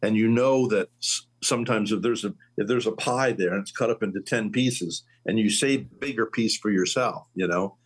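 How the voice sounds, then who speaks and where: A husky man in his late sixties hosts a relaxed conversation about faith. He tells stories with sudden leaps in pitch and an easy, chatty pace. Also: normal speed, few pauses. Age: 60s. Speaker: host.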